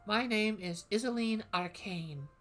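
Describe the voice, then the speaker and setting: A formal woman teacher in a fantasy game lectures her class in measured, even sentences, voiced by a woman streamer. Her pitch is ordinary and middling. Faint music runs underneath. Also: close to an everyday voice.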